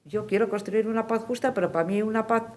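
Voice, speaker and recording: A woman's voice: on the high side, fairly even intonation, fast talker, darkish tone. A woman speaking as a peace activist on a panel, giving reflective testimony emphatically and often checking in with tag questions. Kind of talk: reflective spoken testimony at a forum.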